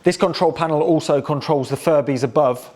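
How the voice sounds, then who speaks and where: A man's voice: on the high side, brisk, a little mellow. A British man giving a casual, enthusiastic, chatty hands-on demonstration as he presents a restoration project.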